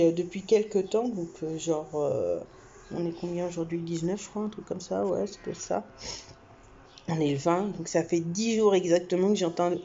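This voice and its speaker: Young woman from France, low-ish warm voice, rambling chatty storytelling, dull lo-fi recording. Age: young adult. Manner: chatty, many fillers and laughs, animated swings. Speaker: vlogger. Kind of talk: casual storytelling to camera.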